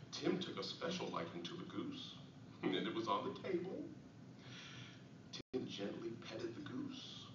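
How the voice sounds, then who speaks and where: A man playing a poor, humble Victorian father and clerk, reading his diary aloud in an earnest, theatrical way with deliberate pauses. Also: mid-range voice. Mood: warm, wistful. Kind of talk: dramatic diary-style reading of an essay. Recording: echoey, noisy, muffled recording from far off.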